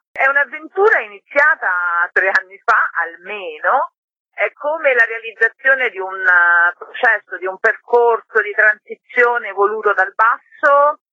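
A woman talks in a radio interview over a slightly muffled, phone-like link. Her voice is bright and forward, with a dry sound. She explains conversationally, stopping mid-phrase to search for words. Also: mid-range voice, dry recording. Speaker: promoting committee member being interviewed. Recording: dull, band-limited phone-like line.

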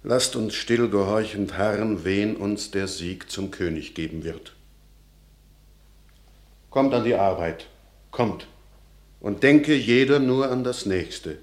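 An actor playing a peaceable, resigned peasant farmer, declaiming classical verse in a measured, earnest way in a radio drama. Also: male voice, on the low side. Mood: humble resignation.

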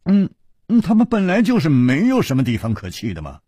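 A man's voice: fairly high pitch, wildly swooping pitch, fairly quick, dark tone, husky, dry recording, studio quality, pitched above the performer's own voice, full character voice. A man voicing a troubled, puzzled martial-arts hero in a storybook, in a hesitant, bemused manner. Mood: defensive. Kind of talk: Chinese wuxia storybook character voice.

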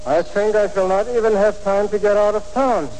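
An actor playing a sly, theatrical crook in 1940s radio drama style. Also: male voice, high-pitched, normal speed, a little mellow, slight background noise, close to an everyday voice, low-quality recording. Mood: ominous, resigned. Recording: humming old radio recording.

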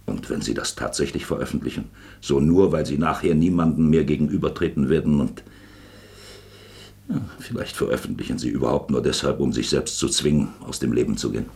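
Shrewd fatherly magistrate, very deep voice, gravelly creak, deliberate weighty pauses, radio drama. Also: darkish tone. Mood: pressing. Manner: deliberate, probing, pausing for weight. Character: shrewd, patient examining magistrate, fatherly and probing. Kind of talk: German radio play acting.

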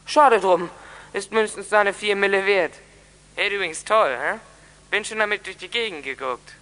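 A young thug with a high, sharp voice in a long hall reverb. He taunts and threatens in slang, a sneering crook in a radio play. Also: normal speed. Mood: sneering menace. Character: menacing young male thug extorting ransom money. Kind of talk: German youth audio drama acting.

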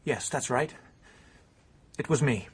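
An actor playing an anguished, browbeaten and bitter stepson, speaking emotionally and haltingly in a radio drama. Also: male voice, mid-range voice, breathy edge. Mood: defensive.

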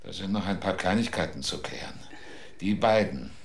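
A cold, insistent low-voiced investigator. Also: male voice, expressive, normal speed, creaky, slight background noise. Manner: cool, insistent, clipped interrogation. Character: hard-nosed male investigator questioning witnesses. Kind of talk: radio drama acting.

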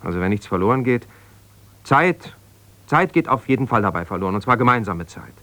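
An actor playing an aggrieved, jealous husband, an ambitious lawyer, in a naturalistic radio drama, talking in a halting, colloquial, self-justifying way as if confiding on the phone. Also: male voice, medium pitch, expressive, bright, forceful tone, dry recording. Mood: bitter, helpless.

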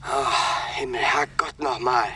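An actor playing a worried male friend and former military pilot, speaking quietly and earnestly in a radio play. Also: mid-range voice, steady tone, takes it slowly, heavy background noise, natural voice. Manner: quiet, earnest, partly whispered.

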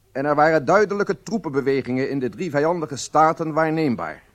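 An actor playing a proud, solemn head of a secret service, narrating a radio drama in a formal, measured way. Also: male voice, a little high, lightly acted. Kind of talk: scripted first-person radio drama narration.

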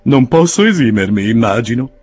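Guy doing a fussy flustered old gent, husky, pitch all over the place. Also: animated, normal speed, darkish tone, faint music behind. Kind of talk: audiobook character voice.

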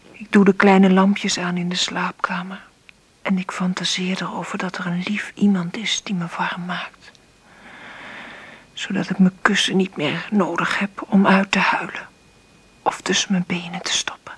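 Breathy, hesitant, lonely mother, Netherlands Dutch. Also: fairly low pitch, fairly bright tone, exaggerated voice. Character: anxious, lonely, worn-down single mother. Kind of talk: radio drama acting.

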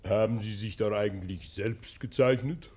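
An actor playing a hearty, self-satisfied professor in a German radio play, jovial and pompous in manner. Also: male voice, lowish voice, normal speed, a little mellow, slightly rough. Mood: jovial, curious.

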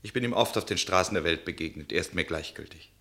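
Radio play traveller, low voice, solemn devoted lover type, pleading and intimate. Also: male voice, fast talker, gravelly, pushed and bright, exaggerated voice. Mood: resolute, tender. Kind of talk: classic German radio play acting.

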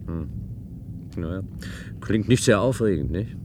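Sardonic man with swooping pitch. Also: expressive, a little slow, music in the background. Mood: sceptical, probing. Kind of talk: German radio play acting.

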